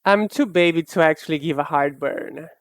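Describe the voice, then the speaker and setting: A young woman streamer chatting casually while she draws, rambling and self-mocking in a soft, low-key way. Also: moderate pace, no echo, clean studio sound. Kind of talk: casual chat while drawing live.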